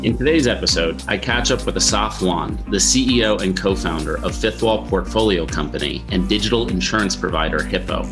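Brief: male podcast host, lower voice, friendly interviewer, light music under the intro. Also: fairly quick, hardly any pauses.

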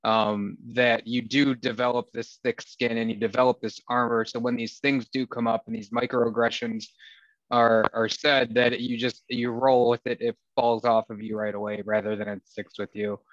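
A man's voice: mid-range voice, little pitch movement, moderate pace, fairly bright tone, no echo. A man with a Wisconsin accent, speaking as a guest in candid talk with a sarcastic, dry wit. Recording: dull lo-fi sound, dry.

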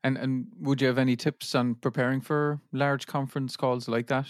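A man's voice: medium pitch, little pitch movement, normal speed, no echo. Man co-hosting, close dry sound. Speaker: podcast co-host. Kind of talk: casual interview questioning.

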